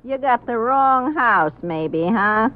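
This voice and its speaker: A woman's voice: a little high, expressive, takes it slowly. An actress playing a brash, sneering boarding-house landlady in a 1950s radio drama, curt, slangy and dismissive. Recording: muffled 1950s radio recording.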